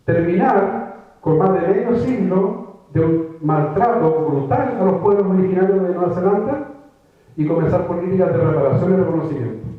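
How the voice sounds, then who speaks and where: A man with a high voice gives a reflective talk to an audience, circling back and repeating words as he gathers his thoughts. He sounds far from the mic, and the room echoes heavily through a thin, phone-like recording. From Chile. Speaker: writer giving a public talk. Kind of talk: conversational lecture. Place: lecture room before an audience.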